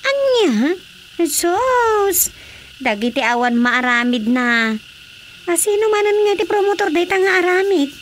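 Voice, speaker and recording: An actress with an Ilocano accent playing an earnest, gently insistent young village wife in a radio drama. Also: female voice, very high voice, sing-song, unhurried, slight background noise, heavily acted. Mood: indignant.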